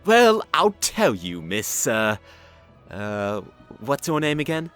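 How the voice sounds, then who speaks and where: Manic, teasing adventurer stallion, acted for a fan audio story: cocky, hugely varied pitch, light music underneath. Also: huge pitch swings, takes it slowly, fairly bright tone, exaggerated voice. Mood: exasperated, teasing. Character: cocky, flippant, manic male alien-hunting adventurer stallion.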